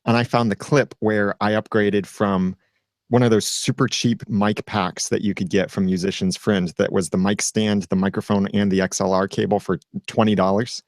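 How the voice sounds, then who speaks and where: A man's voice: lowish voice, little pitch movement, moderate pace, no echo, studio quality. A man speaking as a guest in a casual roundtable chat, explaining at length with a self-deprecating streak. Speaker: podcast guest.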